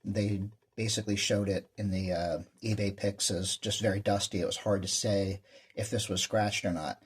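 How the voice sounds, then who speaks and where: A man's voice: fairly low pitch, fairly even intonation, moderate pace, breathy edge. A man giving a casual, plain-spoken walkthrough as a hobbyist presenter, explaining things as he goes.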